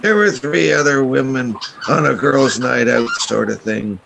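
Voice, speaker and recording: A man playing a gravelly, folksy seafaring narrator in a radio play, telling his tale. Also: medium pitch, a little slow, fairly bright tone, a little hiss or hum. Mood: wry, storytelling. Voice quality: gravelly, creaky.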